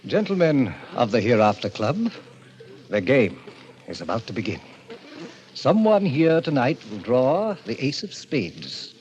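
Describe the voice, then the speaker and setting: Suave sinister gentleman, middle-aged, grandiloquent, animated pitch, old radio drama, muffled vintage recording. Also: measured pace, slight background noise. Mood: solemn, ceremonial. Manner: theatrical, courtly, grandiloquent. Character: suave, sinister fifty-year-old president of a death club. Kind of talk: 1940s radio drama acting.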